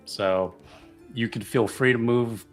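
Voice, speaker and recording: A man's voice: mid-range voice, fairly even intonation, takes it slowly, soft background music. A man playing a tabletop roleplaying game, casually describing his character's plans as he thinks aloud.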